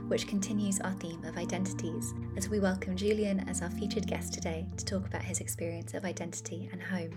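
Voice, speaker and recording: A woman hosting a podcast, reading a scripted introduction in an even, friendly way. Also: lowish voice. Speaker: podcast host. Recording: voice over loud music. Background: loud music.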